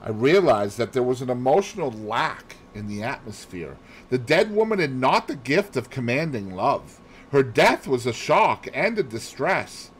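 A man reading a novel aloud as an expressive storyteller. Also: medium pitch, a little hiss or hum, poor audio. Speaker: audiobook reader. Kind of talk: expressive scripted story narration.

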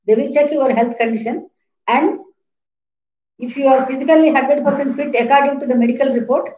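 Indian lady in her 50s teaching a class, high voice, explains step by step, dull roomy laptop sound. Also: a little hiss or hum. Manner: didactic, repeats points, poses questions. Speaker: law lecturer teaching an online class. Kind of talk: informal lecture with questions to students. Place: small plain room indoors. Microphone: webcam or laptop mic at a distance.

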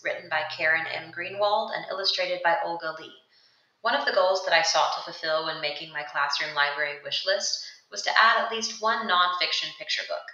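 A woman's voice: on the low side, lo-fi sound. Earnest woman reading book picks, echoey. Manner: steady, earnest read-aloud delivery. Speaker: student presenting book recommendations. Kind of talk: scripted narration of a video ad. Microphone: voice-over over still book pages.

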